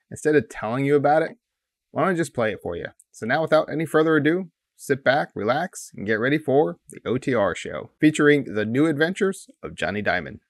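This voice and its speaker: A man's voice: medium pitch, animated, fairly quick, no echo. A man in his forties, a playful, folksy host giving a casual introduction close on a studio mic. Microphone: large studio mic close in front.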